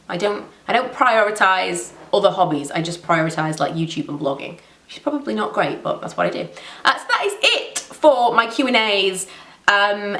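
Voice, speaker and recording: A woman's voice: lowish voice, fairly bright tone, roomy sound, recorded from a distance. A woman in her thirties with a stuffy cold, chatting casually and rambling through viewer questions in a home vlog. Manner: chatty, rambling, self-deprecating. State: blocked nose from a cold. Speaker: beauty vlogger.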